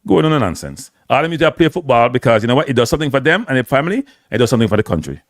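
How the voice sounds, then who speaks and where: A man's voice: medium pitch, very animated, racing, no echo, clean studio sound. A man with a Jamaican accent delivering a blunt, heated football rant, slipping into patois. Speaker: football commentator. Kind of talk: live opinion rant.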